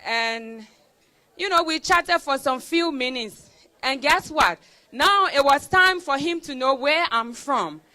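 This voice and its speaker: A young woman gives a motivational speech to students. Her voice sits high and sounds bright and sharp. She tells her story emphatically, circling back to repeat key lines. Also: animated, takes it slowly. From Liberia. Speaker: student speaker at a celebration.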